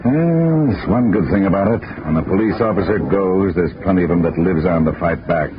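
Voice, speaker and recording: An actor playing a blunt, plain-spoken police sergeant in a 1950s radio drama. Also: male voice, sits low, lively intonation, fairly quick. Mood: gruff. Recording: dull noisy old radio recording.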